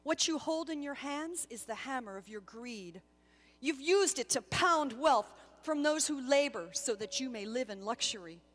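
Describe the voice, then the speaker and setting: Solemn angel gatekeeper played by a woman, firm, measured, authoritative yet not unkind, dramatic storytelling. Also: high-pitched, expressive, long reverb tail. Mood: solemn, stern.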